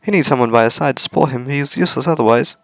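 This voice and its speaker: A man voicing a gentle young robot girl from a visual novel, politely and wistfully. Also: medium pitch, very fast, no room sound, lightly acted. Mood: earnest, fond.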